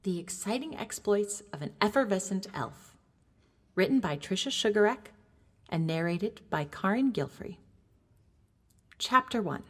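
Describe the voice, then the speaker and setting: A woman's voice: mid-range voice, expressive, unhurried, pauses often. A woman reading a children's audiobook in careful, scripted narration. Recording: reverberant, played into large hall.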